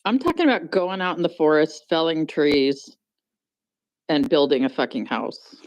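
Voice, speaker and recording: A woman's voice: sits low, animated, moderate pace, a little mellow, no echo, rough recording. A woman on a debate panel, blunt and insistent, swearing freely. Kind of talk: casual debate.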